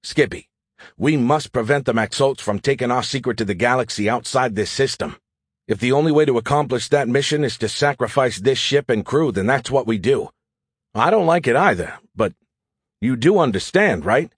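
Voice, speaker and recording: A man's voice: medium pitch, animated, normal speed, slightly rough, no echo, clean studio sound. A man voicing a resolute, wry male starship commander in audiobook dialogue, plain-spoken.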